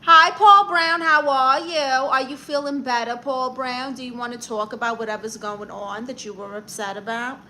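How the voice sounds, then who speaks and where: A woman's voice: high voice, fairly even intonation, sharp tone, slight background noise, poor audio. A young woman with a Brooklyn accent, hosting a livestream, reading viewer comments and firing back sassy advice and comebacks. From Brooklyn, New York. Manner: brassy, sassy, quick comebacks. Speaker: livestream host giving advice.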